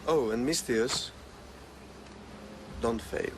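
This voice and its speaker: An actor playing a suave, smug schemer of ancient Greece in a video game, measured and quietly menacing. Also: male voice, medium pitch, noisy background. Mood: smug, calculating. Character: sly, smug wealthy schemer in ancient Greece, hiring a mercenary. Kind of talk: cinematic video game acting. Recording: background music under dialogue.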